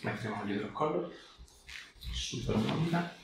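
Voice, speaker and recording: A man's voice: mid-range voice, lively intonation, moderate pace. A man in his thirties working as a chiropractor, giving short, reassuring instructions and questions during hands-on treatment. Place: small bare treatment room indoors. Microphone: camera a few meters away. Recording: very echoey room, distant mic, clear noise.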